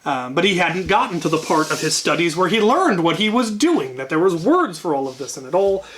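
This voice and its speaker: A man's voice: on the high side, very animated, fairly quick, a crowd in the background. A man telling an anecdote casually as a channel host, wryly, often repeating words as he gathers his thoughts.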